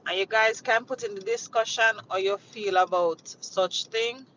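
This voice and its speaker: A woman's voice: mid-range voice, fairly even intonation, moderate pace, bright, forceful tone, muffled recording, slight background noise. A woman from Jamaica, chatting casually on a livestream, rambling and repeating herself as she greets viewers from inside a vehicle. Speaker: livestream host. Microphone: phone mounted on dashboard.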